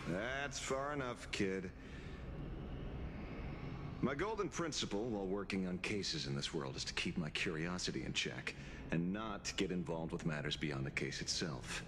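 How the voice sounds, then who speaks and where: Deep, wry detective. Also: male voice, expressive, moderate pace, noisy background. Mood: wary, measured. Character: wry, world-weary male detective, cautious and pragmatic. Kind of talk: video game voice acting.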